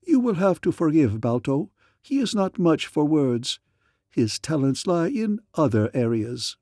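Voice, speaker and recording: A man's voice: mid-range voice, animated, moderate pace, gravelly, dark tone, slightly rough, no echo, clean studio sound. A man voicing a sly, menacing sorcerer priest in a fantasy story, formal and mock-courteous. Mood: mock welcome. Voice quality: strange foreign accent.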